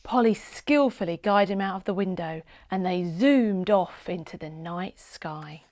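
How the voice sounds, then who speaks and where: A woman narrating a children's storybook in an expressive, dramatic read-aloud style with deliberate phrasing. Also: medium pitch, dry recording.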